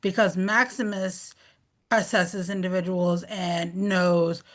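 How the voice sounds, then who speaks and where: A woman's voice: lowish voice, level tone, slow. A woman council member with an American accent, asking a question at a meeting in an informal way, with many fillers. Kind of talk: meeting discussion.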